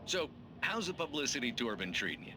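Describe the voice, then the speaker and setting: A man's voice: mid-range voice, sing-song, a little fast, background noise, lightly acted. An actor playing a glib late-night talk show host, showy, blunt and joking with his guest. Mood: breezy, teasing.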